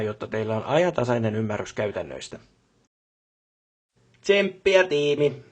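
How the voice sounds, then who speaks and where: A man's voice: mid-range voice, slightly rough. A man playing a pompous middle-aged company boss in a video game, with a stiff, long-winded, bureaucratic way of speaking. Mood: stiffly encouraging. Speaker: job boss character. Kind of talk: formal scripted meeting speech in a video game.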